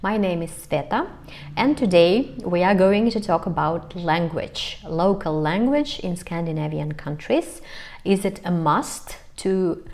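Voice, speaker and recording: Hesitant woman vlogger, lowish voice. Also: lively intonation, a little slow, a little mellow. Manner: hesitant, many fillers, light laughs. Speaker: vlogger, host of own channel. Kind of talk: casual talk to camera.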